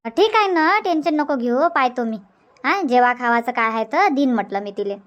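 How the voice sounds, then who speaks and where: Woman voicing a high-pitched folksy village auntie. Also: expressive, moderate pace, fairly bright tone, muffled recording. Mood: reassuring. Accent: Varhadi Marathi from Vidarbha. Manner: folksy, inquisitive, reassuring. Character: nosy, reassuring village auntie, a grandmother. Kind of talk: cartoon comedy dubbing.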